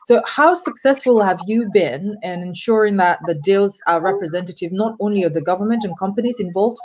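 A young woman hosting an interview, asking long, formally phrased questions in a measured way over a video call. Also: mid-range voice, darkish tone. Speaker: interviewer, host. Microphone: video call webcam.

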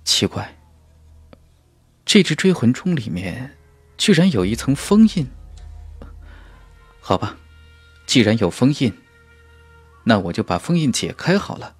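A man's voice: medium pitch, sing-song, a little slow, long pauses, husky, no echo, faint music behind. A man voicing a confident, brash young male cultivator hero in a fantasy audiobook, musing to himself. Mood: curious, musing.

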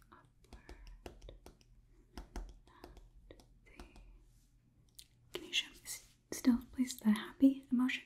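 Girl doing a robot overlord asmr thing, whispery n mellow, stiff formal wording, clinically curious. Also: fairly high pitch. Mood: absorbed muttering. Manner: stilted formal phrasing, detached, slow and deliberate. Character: curious, clinical female robot overlord studying human emotions.

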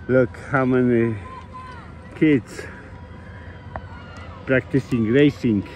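Slow-talking man filming outdoors. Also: medium pitch, fairly even intonation, a little mellow, a little hiss or hum. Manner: slow, enthusiastic, simple phrasing with pauses. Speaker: vlogger. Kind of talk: casual outdoor commentary. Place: outdoors at a ski hill and by a mountain river.

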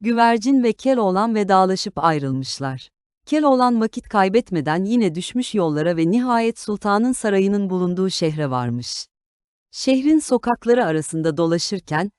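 A woman reading a scripted fairy tale for children as an expressive storyteller. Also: mid-range voice, smooth, no room sound, clean studio sound.

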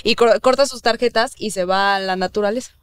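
A woman's voice: mid-range voice, a little fast, smooth. A young woman co-hosting a podcast, joking, laughing often and using crude slang in casual conversation. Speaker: podcast co-host.

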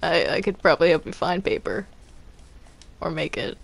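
Woman, game roleplay, casual talk, stammers, gravelly fry. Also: sits low, animated, normal speed. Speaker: roleplay player. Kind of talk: casual in-character roleplay chat.

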